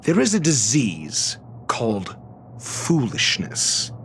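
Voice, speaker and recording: An actor playing an arrogant, fastidious scholar-doctor in a video game, speaking in a pompous, measured, formal way. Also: male voice, medium pitch, fairly bright tone, slight background noise. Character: arrogant, erudite male scholar-doctor, fastidious and disdainful of fools. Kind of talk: scripted video game cutscene dialogue.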